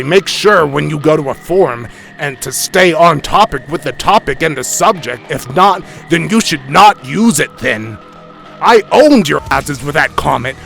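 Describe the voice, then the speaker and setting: A man's voice: on the high side, lively intonation, unhurried, gravelly, a bit bright, full character voice. A man playing a boastful, indignant internet tough guy who rants profanely in a dramatic reading of forum posts. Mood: boastful, defensive. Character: boastful, ranting, insecure internet tough guy claiming royalty. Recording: music under the voice.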